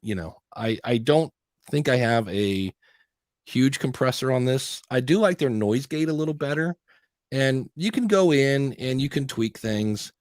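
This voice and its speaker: A man's voice: mid-range voice, expressive, measured pace, no echo, studio quality. Chatty man rambling about gear. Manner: rambling, restarts and self-quotes. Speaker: podcast host. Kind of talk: casual tech chat. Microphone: studio dynamic mic.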